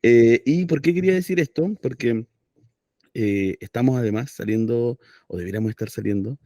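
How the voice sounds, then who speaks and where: A man's voice: medium pitch, moderate pace, dark tone, no room sound, clean studio sound. A middle-aged man hosting a casual radio interview, hesitant and checking in with "¿cierto?". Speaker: radio host.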